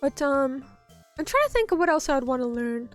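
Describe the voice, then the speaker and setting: High-voiced chatty woman streamer. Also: sing-song, fairly quick, faint music behind. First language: English. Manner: rambling, many fillers like "like" and "um". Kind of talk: casual chat reading viewer messages.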